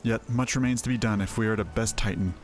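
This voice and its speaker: A man's voice: on the low side, fairly even intonation, fast talker, natural voice. A man voicing a passionate, resolute young woman in a fantasy game, with earnest, formal, archaic speech. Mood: resigned, earnest. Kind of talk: voiced fantasy game dialogue. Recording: game music behind voice. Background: game music.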